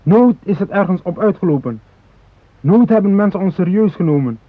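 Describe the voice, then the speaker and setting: A man's voice: sits high, expressive, normal speed, no echo. An actor playing a determined, idealistic male hijacker in a radio play, speaking in solemn, insistent declarations. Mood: resolute, accusing. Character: determined Moluccan hijacker, idealistic, grave, unyielding. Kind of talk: radio drama dialogue. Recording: dry, thin phone-like band.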